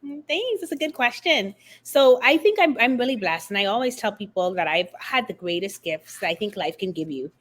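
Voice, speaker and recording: A middle-aged woman from the Bahamas speaks as an interview guest, her voice sitting at an ordinary pitch for a woman. She talks in an animated, expressive way, building her story with warmth of conviction and references to faith. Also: brisk, hardly any pauses. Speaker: guest author and confidence coach. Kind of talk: conversational interview.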